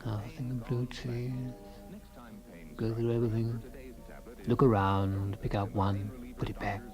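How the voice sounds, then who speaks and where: A man's voice: fairly low pitch, little pitch movement, measured pace, music in the background, natural voice. An actor playing a fussy, self-absorbed husband in a radio comedy-drama, rambling and savouring small things, stoic about his creeping paralysis. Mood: wistful relish.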